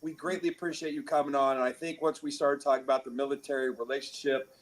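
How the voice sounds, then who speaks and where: An older man, a veteran co-host, talking quickly and warmly thanking his guest, laughing often. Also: medium pitch, fairly even intonation. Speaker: podcast co-host, military veteran.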